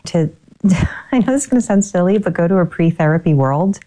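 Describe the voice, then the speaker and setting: A woman actor telling stories in an interview in an animated way. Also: lowish voice, brisk, poor audio. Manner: animated storytelling, laughs.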